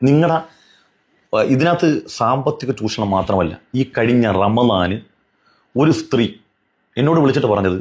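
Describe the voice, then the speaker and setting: A man's voice: mid-range voice, animated, normal speed, long pauses, a little mellow, highs cut off. A man giving an admonishing religious commentary, earnest and pleading, driving points home with repetition and rhetorical questions.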